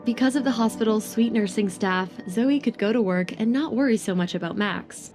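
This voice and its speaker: Woman narrating a dramatic audio story, dark soft-toned voice, music behind. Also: fairly high pitch, normal speed.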